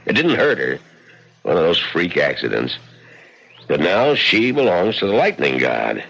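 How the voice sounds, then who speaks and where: Very deep, gravelly Western local man. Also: wildly swooping pitch, unhurried, a bit bright, exaggerated voice. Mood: grave warning. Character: folksy, cautionary Western title-company man, serious and superstition-wary. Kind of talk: radio drama acting. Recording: muffled old radio recording.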